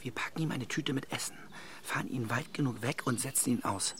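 An actor playing a stressed, suspicious husband in his forties, hushed and urgent, often slipping into whispers in a naturalistic radio drama. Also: mid-range voice, brisk, slight background noise, lightly acted. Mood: conspiratorial. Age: 40s.

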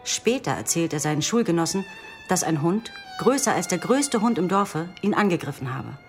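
Thoughtful young student: lowish voice, bookish storytelling delivery, radio drama, soft music underneath. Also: female voice, lively intonation, moderate pace. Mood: reciting calmly. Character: earnest, thoughtful young female psychology student.

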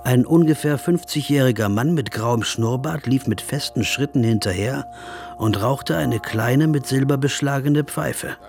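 A man's voice: medium pitch, vocal fry, darkish tone. An actor playing an observant, reflective traveller who recounts his journey as the narrator of a literary radio drama. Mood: reflective, admiring. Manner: descriptive, measured storytelling. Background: music.

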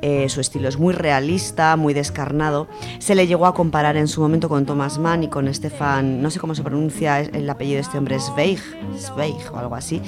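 A woman's voice: sits low, fairly even intonation, fairly quick, over music. A woman with an Aragonese Spanish accent presents a literary segment on a radio show, speaking in a chatty, explanatory way with many fillers.

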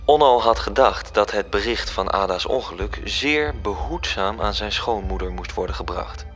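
A man's voice: fairly low pitch, expressive, moderate pace, a bit bright, soft background music. A man acting as a formal, self-assured angel who narrates a radio play with precise, composed delivery. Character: formal, self-assured male angel recounting his heavenly plan.

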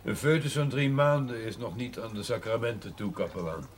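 A man's voice: lowish voice, expressive, fairly quick, lightly acted. A Dutch man playing a matter-of-fact doctor, authoritative and wry with clipped phrasing, in a television drama. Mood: brisk, dry. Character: brisk, dry, matter-of-fact male village doctor. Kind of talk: naturalistic 1970s television drama acting. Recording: faintly noisy 1970s television soundtrack.

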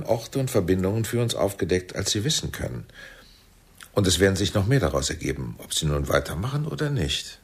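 An actor playing a paternal, persuasive intelligence chief in a radio play, theatrical, with a deep husky voice. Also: male voice, full character voice.

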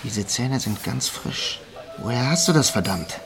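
A responsible, scolding brother in a radio play. His voice sits at a middle pitch and is strict and exasperated, with music behind it. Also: lively intonation, unhurried, gravelly. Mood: wary. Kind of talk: German radio drama acting.

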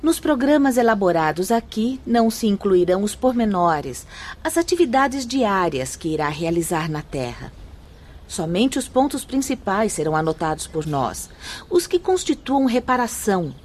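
A woman playing a serene, learned spirit guide in a radio drama, speaking in a formal, measured, instructive and firm manner. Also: mid-range voice, very animated, a little mellow. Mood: patient, instructive. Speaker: actress in a radio play. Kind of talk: radio drama acting, solemn and didactic.

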